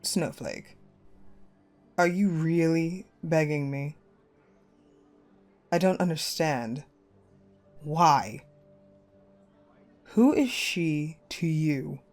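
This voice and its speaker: A woman playing a cold, possessive ice-queen general in a dark romance audio roleplay, commanding and menacing. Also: low-pitched, expressive, faint music behind, full character voice. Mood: indignant. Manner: commanding, menacing, slow and deliberate, dropping to hushed.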